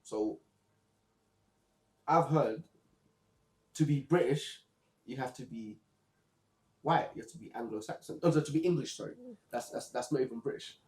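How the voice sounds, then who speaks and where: Man, from zimbabwe, podcast host, casual talk, halting restarts, warm dark tone. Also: medium pitch, roomy sound, poor audio. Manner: relaxed, halting with repeated restarts. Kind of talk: casual book discussion.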